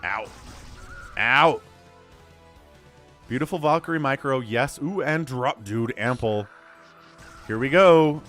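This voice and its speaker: A man calling a live strategy game match solo, his voice a little hoarse and stuffy from a cold, swinging from calm analysis to loud exclamations. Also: medium pitch, slow, long pauses, faint music behind. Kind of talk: live esports play-by-play commentary.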